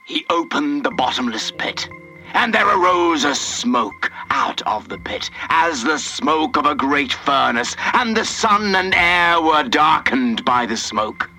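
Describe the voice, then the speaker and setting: An actor playing a grave, doom-laden reader of apocalyptic scripture, solemn and forceful, in an audio drama. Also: male voice, on the high side, sing-song, slow, faint music behind, heavily acted, rough recording. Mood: grave, menacing.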